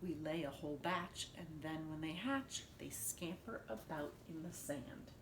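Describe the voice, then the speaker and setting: A woman's voice: deep voice, a little slow. A woman voicing patient mother sea turtles in a rhythmic storybook delivery. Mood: earnest. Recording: roomy echo with faint noise.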